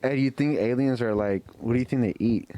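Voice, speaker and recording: A man's voice: mid-range voice, fast, mellow, lo-fi sound. A man chatting casually on a podcast in a slangy, joking way. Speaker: podcast co-host. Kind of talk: casual group chat.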